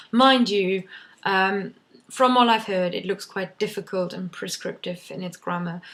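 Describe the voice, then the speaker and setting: A young woman, German by first language, chats casually to her camera, rambling and restarting with plenty of ums. Her voice sits at an ordinary middle pitch. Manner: chatty, many ums and restarts. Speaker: vlogger.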